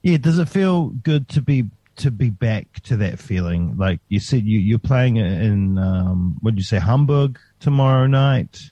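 A man's voice: on the low side, expressive, measured pace, hardly any pauses, mellow, no room sound. A man interviewing a musician, casual and encouraging, often affirming with "yeah" and "you know".

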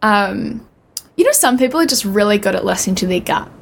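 A woman's voice: mid-range voice, expressive, fairly quick, creaky, slight background noise. A young woman co-hosting a podcast, talking candidly and thoughtfully, often searching for the right word. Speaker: podcast co-host. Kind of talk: casual chat.

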